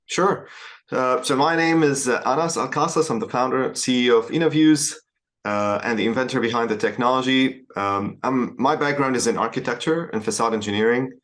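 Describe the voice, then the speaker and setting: A man from Syria, a founder answering interview questions in a measured way with frequent hesitations and restarts. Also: medium pitch, a bit bright. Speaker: startup founder, guest.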